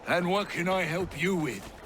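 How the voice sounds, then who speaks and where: A man playing a bitter, wary colonial doctor in a video game, speaking in a sardonic, deliberate way. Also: sits high, lively intonation, background noise. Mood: bitter, suspicious. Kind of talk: video game cutscene acting.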